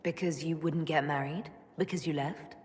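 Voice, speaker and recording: An actress playing a composed, sympathetic female elf mage hero in a video game, speaking in a measured, restrained way. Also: low-pitched, fairly even intonation, darkish tone, long reverb tail, natural voice. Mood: calm curiosity. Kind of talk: video game dialogue acting.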